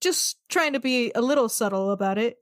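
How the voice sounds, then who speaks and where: A woman's voice: on the high side, lively intonation, fairly quick, no echo, studio quality. A woman chatting casually as a tabletop game player, describing what her character does.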